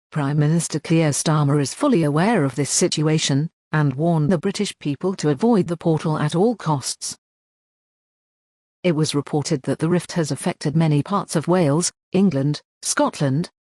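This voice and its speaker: An announcer reading a scripted emergency news bulletin in a formal, measured way. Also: mid-range voice, darkish tone, dry recording, studio quality.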